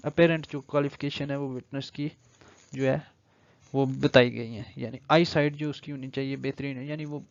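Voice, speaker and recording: A dull, lo-fi recording with very little room sound carries a man's mid-pitched voice. He has a Pakistani accent and speaks as a lecturer, explaining point by point and slipping into English legal terms. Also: steady tone, moderate pace, vocal fry, dry recording. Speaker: law lecturer. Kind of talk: explanatory lecture, reading statute aloud then paraphrasing.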